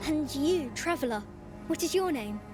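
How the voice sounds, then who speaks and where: An actress playing a gentle, uneasy young girl lost in a strange place, hesitant and wondering, in a video game. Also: sits high, lively intonation, normal speed. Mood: polite, friendly. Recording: loud music under the voice.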